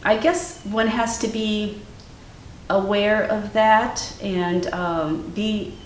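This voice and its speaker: An older woman, a guest artist whose first language was Ukrainian, talking in a thoughtful, measured way with many fillers. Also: mid-range voice, steady tone. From Cleveland, Ohio, US. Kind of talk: casual interview conversation. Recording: echoey room from far off, faint noise.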